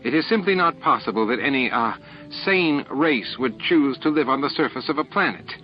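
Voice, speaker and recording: A pedantic alien scientist, acted by a man, halting and incredulous in a sci-fi audiobook. The voice is pushed high, with a dull recorded sound. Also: expressive, faint music behind, lightly acted. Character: hesitant, incredulous male alien science master.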